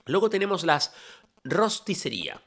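Lively gravelly-voiced man. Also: on the high side, moderate pace. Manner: lively, chatty, swings from loud bursts to quiet asides. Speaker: podcast host. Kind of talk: casual explanatory podcast. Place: travelling in Italy.

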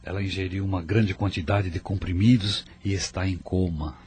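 Deep-voiced worried father. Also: fairly even intonation, moderate pace, darkish tone, breathy edge. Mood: grave, reflective. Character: worried, protective father of a grown daughter. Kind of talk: radio soap opera acting.

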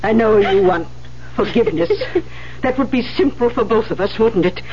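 Stern, reproachful old nun in charge, played by an actress; 1970s radio drama, slightly noisy recording. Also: female voice, medium pitch, a little mellow, dull audio, natural voice. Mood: stern, weary. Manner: scolding, sardonic, weighty pauses. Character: stern, reproachful elderly Mother Superior of a convent.

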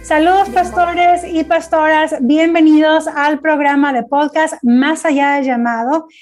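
A woman's voice: sits high, fairly even intonation, unhurried, faint music behind. A woman hosting a church podcast interview, formal and welcoming, sometimes repeating words as she frames her questions. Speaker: podcast host, ministry coordinator.

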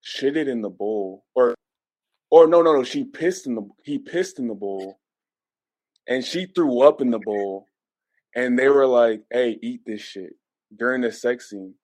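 A man's voice: mid-range voice, lively intonation, unhurried, a little mellow, no echo. A man talking in a casual, slangy and insistent way, as in a group podcast chat. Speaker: podcast panelist. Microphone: remote call mic.

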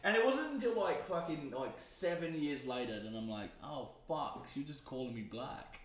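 A man's voice: on the high side, expressive, moderate pace. A young man hosting a podcast, telling rambling stories and swearing freely. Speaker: podcast host. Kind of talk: casual chat. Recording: echoey room.

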